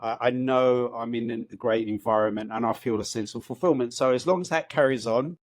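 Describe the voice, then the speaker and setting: A man in his late fifties, raised in the UK, speaks as an interview guest at a medium pitch for a man. He is reflective and upbeat, hesitating and restarting his sentences. The recording is dry and close. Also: brisk, no room sound. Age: 50s. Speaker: guest, housing organisation chief executive. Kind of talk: conversational podcast interview.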